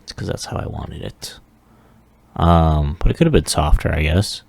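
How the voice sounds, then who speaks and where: A man giving a casual, unscripted software walkthrough in a halting, offhand way with mumbled asides. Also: very deep voice, creaky, no room sound. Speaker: 3D animation hobbyist presenting a demo.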